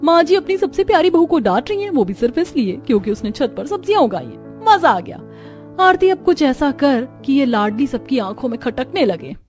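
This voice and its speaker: A woman's voice: sits high, sing-song, fairly quick, darkish tone, soft background music. A woman voicing a lazy, jealous elder sister-in-law in a cartoon. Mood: gloating.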